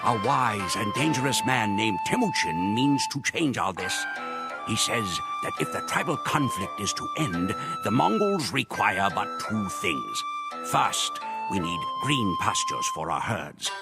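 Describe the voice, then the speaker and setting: Grim Mongol warrior chronicler: lowish, gravelly, solemn measured game narration. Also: male voice, sing-song, exaggerated voice. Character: grim male Mongol warrior recounting the campaign. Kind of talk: scripted dramatic narration. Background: music.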